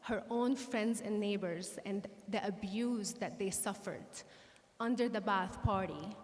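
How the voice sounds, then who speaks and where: A woman from Syria giving an earnest personal talk to an audience, telling her story in a measured way. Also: mid-range voice. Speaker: guest speaker. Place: synagogue hall. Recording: reverberant hall.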